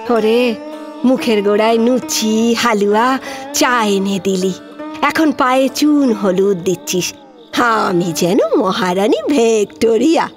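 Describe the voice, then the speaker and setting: A salty old Bengali village auntie, slow-paced. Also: female voice, a little high. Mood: gruff affection. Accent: rural West Bengal. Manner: scolding, exclaiming, drawn-out folksy delivery. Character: elderly widowed village aunt, salty-tongued but affectionate. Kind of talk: Bengali audio drama acting. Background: background music.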